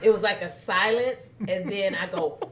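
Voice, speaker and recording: A woman hosting a talk show. She tells stories animatedly, with a loud voice that carries and lots of fillers. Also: mid-range voice, normal speed, reverberant, slight background noise. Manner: loud voice that carries, lively storytelling, many fillers. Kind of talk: casual chat.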